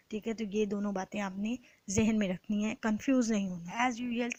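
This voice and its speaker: A woman's voice: mid-range voice, darkish tone, no room sound, rough recording. A woman with a Pakistani accent giving a methodical, explanatory law lecture, often checking that listeners follow. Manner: methodical, checks understanding with short tags. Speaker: law teacher. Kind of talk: explanatory exam-prep lecture with line-by-line translation.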